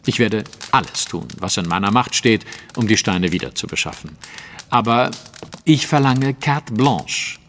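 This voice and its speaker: An actor playing a self-assured gentleman detective in an audio drama, speaking formally and precisely. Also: lowish voice, expressive, a little slow, long reverb tail, a little hiss or hum. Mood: composed, assured. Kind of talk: German audio drama acting.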